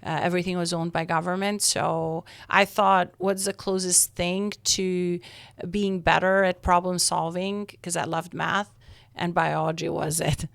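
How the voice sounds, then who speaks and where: A middle-aged woman with an Albanian accent speaks as a podcast guest, her voice sitting a little low. She talks earnestly, pausing on ums and restarting phrases. The sound is close and dry, with no room around it. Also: little pitch movement, vocal fry, no echo. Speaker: guest, escrow company owner. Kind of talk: interview conversation.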